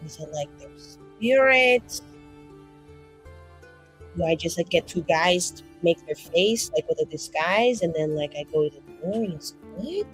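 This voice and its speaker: A woman playing a tabletop roleplaying game, chatting casually in slangy, excitable, improvised speech with some swearing. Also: lowish voice, measured pace, soft background music, poor audio.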